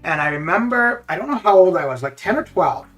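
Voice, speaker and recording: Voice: medium pitch, animated, a little fast, fairly bright tone, low-quality recording. Casual streamer chatting over music. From Canada, born in the Caribbean. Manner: chuckles often at own remarks. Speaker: live game streamer. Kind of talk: casual chat while streaming. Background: music with guitar.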